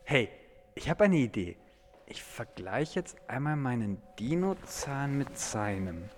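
A man acting an eager, excitable young dinosaur enthusiast in a youth radio play, explaining things dramatically. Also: mid-range voice, unhurried, a little hiss or hum. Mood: awed, uneasy. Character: eager, excitable young male dinosaur fan named Michel. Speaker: actor in an educational audio drama.

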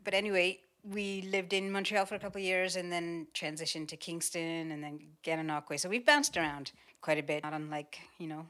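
A woman in her fifties, from the Seychelles and a native French speaker, is a guest on a podcast. Her voice sits low. She tells her story in a steady, matter-of-fact way. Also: brisk, hardly any pauses. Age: 50s. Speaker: interview guest. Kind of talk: casual interview chat.

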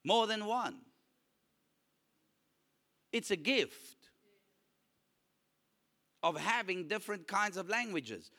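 A man whose first language is Afrikaans, preaching a lively sermon and calling out to his congregation for responses. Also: sits high, slow pace, long pauses. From South Africa. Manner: animated, calls for audience response.

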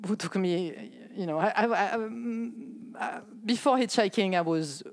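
A woman in her sixties from France, with a French accent, telling her life story as a podcast guest in a soft, hesitant way with frequent small laughs. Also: medium pitch, unhurried. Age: 60s. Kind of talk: casual chat.

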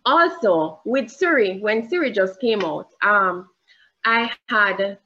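A woman with a Jamaican accent preaching a sermon in church, deliberate and emphatic, rising on her questions and pausing with fillers as she searches for words. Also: medium pitch, lively intonation, sharp tone, dull audio.

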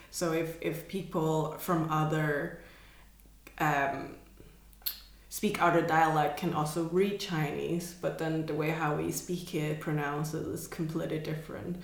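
Woman, hesitant, echoey room. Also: deep voice. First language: Cantonese. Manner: hesitant, searching for words, laughs often. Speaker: artist guest. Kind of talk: casual conversation.

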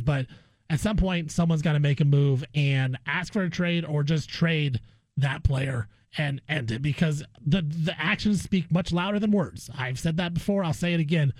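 High-voiced American man talking sports. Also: a little mellow, no room sound, low-quality recording. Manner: conversational, repeats phrases for emphasis. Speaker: guest co-host of a sports talk show. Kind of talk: casual, opinionated basketball discussion.

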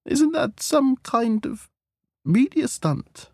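A man voicing a highly strung, hot-tempered suburban wife, shrill and emotional in a comic story. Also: sing-song, slow pace, darkish tone, no room sound, studio quality, exaggerated voice. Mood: uncertain.

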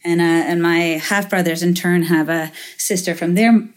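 A woman's voice: lowish voice, fairly even intonation, moderate pace. A middle-aged woman from Finland, a venture capitalist guest in an interview, talking thoughtfully with many fillers and restarts. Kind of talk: interview conversation.

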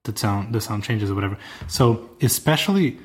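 A man's voice: on the low side, a little fast. A young adult man whose first language is Portuguese, chatting casually and enthusiastically, often restarting his sentences. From Brazil or Portugal. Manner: enthusiastic, rambling, frequent restarts. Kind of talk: casual chat.

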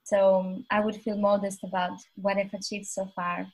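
A woman in her twenties from Albania, a guest on a podcast, telling her story in a modest, earnest, soft-spoken way. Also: medium pitch, level tone, moderate pace, rough recording. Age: 20s. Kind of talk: casual interview conversation.

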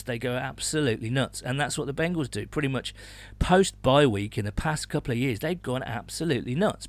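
A man's voice: mid-range voice, no echo. A British man co-hosting a sports podcast in a casual, enthusiastic, blokey way with emphatic phrasing. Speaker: podcast host.

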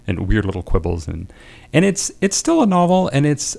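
A man's voice: medium pitch, sing-song, moderate pace, creaky, a little mellow. A man, a guest writer, talking casually about fiction on a podcast. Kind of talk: casual literary discussion.

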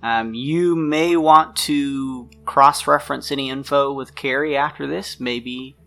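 A man's voice: mid-range voice, fairly even intonation, measured pace, gravelly, a bit bright. A man narrating an improvised tabletop roleplaying game as game master. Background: music.

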